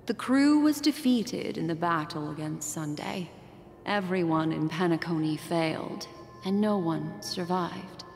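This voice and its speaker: A woman voicing a mysterious fortune-teller in a video game, telling a slow, soothing bedtime-story recap. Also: lowish voice, gravelly, darkish tone. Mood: soothing, enigmatic. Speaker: game character narrating a recap. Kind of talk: video game cutscene voice acting. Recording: game audio with long reverb and music.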